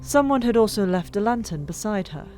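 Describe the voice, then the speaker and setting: A woman reading fiction as an audiobook narrator, dramatic and expressive, with drawn-out pauses. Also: mid-range voice. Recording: music behind, older microphone. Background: music.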